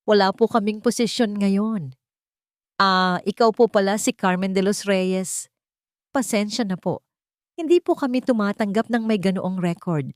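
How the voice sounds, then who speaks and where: Woman doing a high, dismissive agency clerk. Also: a little slow, no echo, clean studio sound, lightly acted. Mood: apologetic, dismissive. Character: curt, polite employment agency clerks turning someone away.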